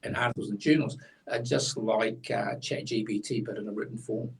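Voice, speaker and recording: Gravelly man, blunt and opinionated. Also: on the low side, fairly even intonation, brisk, distant mic, poor audio. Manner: blunt, opinionated, explains in plain terms. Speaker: co-host, tax commentator. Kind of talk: casual explanatory chat.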